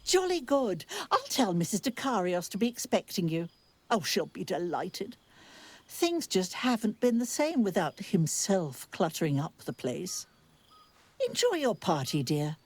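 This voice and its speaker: An actress playing a wizard's tart, disapproving companion in a fantasy game, dry, sardonic and refined. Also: female voice, fairly low pitch, big pitch swings, unhurried, a little mellow. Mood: wistful, courteous. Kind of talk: dramatic game voice acting.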